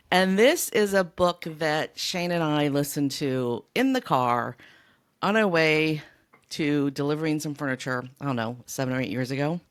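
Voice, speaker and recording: American lady w a low voice, casual book podcast host chatting away. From California, US.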